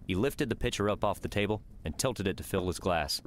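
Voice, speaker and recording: Male audiobook narrator, low register, scripted third-person storytelling over faint background noise. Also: brisk.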